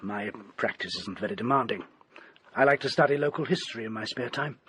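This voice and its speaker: An actor playing an agitated, obsessed English doctor in a radio drama, with formal, dramatic delivery. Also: male voice, mid-range voice, fairly quick, fairly bright tone, a little hiss or hum, close to an everyday voice. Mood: grave, confiding. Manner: formal, dramatic, urgent pleading and grave storytelling. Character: agitated, desperate male doctor obsessed with an occult book. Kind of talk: British radio drama acting.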